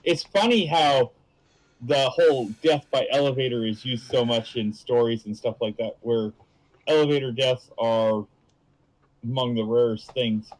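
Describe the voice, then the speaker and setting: A man chatting casually as co-commentator on a game stream, easygoing and a bit halting, often repeating his words. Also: medium pitch, poor audio. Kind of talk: casual chat.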